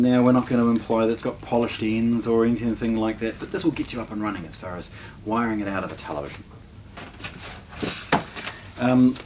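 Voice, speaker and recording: A man's voice: on the low side, noisy background. A man with a New Zealand accent presenting a casual product unboxing, explaining things informally step by step as he handles them.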